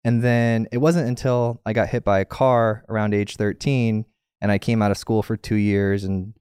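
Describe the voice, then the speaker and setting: A man in his thirties speaks as an interview guest, telling his story casually. His voice is a little low and very smooth and clean. The recording is dry, with little room sound. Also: level tone, normal speed, darkish tone, no room sound. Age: 30s. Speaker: podcast guest, tech entrepreneur.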